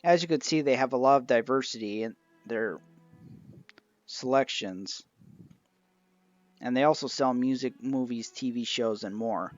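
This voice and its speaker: A man's voice: mid-range voice, measured pace, pauses often, lo-fi sound. A man narrating an informal review of a collection as its reviewer. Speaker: reviewer of an old radio show collection. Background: music.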